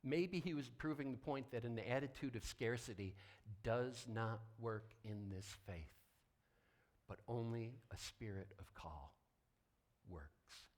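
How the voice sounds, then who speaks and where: A man preaching a sermon as a pastor, deliberate and emphatic, with dramatic pauses and hushed drops. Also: medium pitch, expressive.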